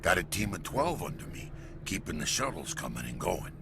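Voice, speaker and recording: A man's voice: low voice, very animated, fairly quick, full character voice. A man playing a chatty alien chief mechanic in a video game, with an informal, wry way of talking. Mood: wry, friendly. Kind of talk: video game dialogue acting. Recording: clear background ambient noise.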